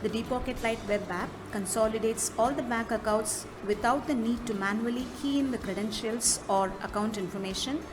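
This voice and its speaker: A woman presents a software walkthrough in an instructional manner, with halting phrasing. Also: fairly high pitch, noisy background. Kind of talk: step-by-step app walkthrough narration. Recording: music behind the voice. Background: clearly audible music.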